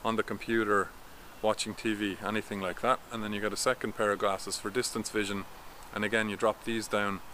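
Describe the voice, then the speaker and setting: A man's voice: fairly low pitch, fairly quick, few pauses, a little hiss or hum. A man in his thirties, a vision coach, explaining things step by step in a talking-head vlog recorded outdoors in a garden with a camera at arm's length. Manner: steady, instructive, explaining step by step.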